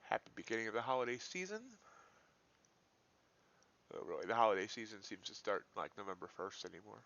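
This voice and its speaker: A man's voice: fairly low pitch, fairly quick, gravelly, fairly bright tone. A man hosting a live trading stream, thinking aloud in casual, folksy commentary. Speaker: live-streaming futures trader, host. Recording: dull, lo-fi livestream audio.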